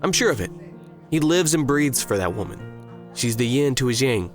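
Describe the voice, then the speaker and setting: A man voicing an earnest, soft-hearted woman in audio drama dialogue. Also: medium pitch, expressive, moderate pace, lightly acted. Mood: fond. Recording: guitar music behind the voice.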